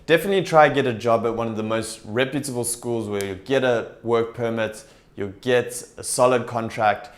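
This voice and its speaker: A young man talking straight to camera in a small room, explaining things in a steady, upbeat way. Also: medium pitch. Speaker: TEFL recruiter and vlogger. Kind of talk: direct-to-camera advice talk. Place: small indoor room with shelves.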